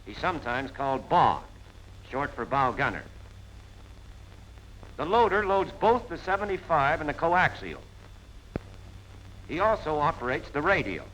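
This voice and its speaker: Lively American man narrating 1940s training film. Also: on the high side, a little slow, long pauses. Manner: plain-spoken, punchy, colloquial instructor delivery. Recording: noisy 1940s film soundtrack.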